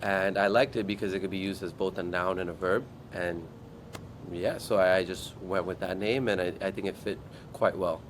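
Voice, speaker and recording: A man from Guam, a startup founder, telling his story in an interview in a relaxed way and acting out his past thoughts. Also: low voice, moderate pace, a little hiss or hum. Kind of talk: interview answers.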